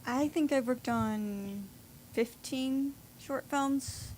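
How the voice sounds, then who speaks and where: Woman guest in a casual interview, slow n halting, some noise in the background. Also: high voice, darkish tone, poor audio. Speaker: short film maker, interview guest. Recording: clear room noise behind.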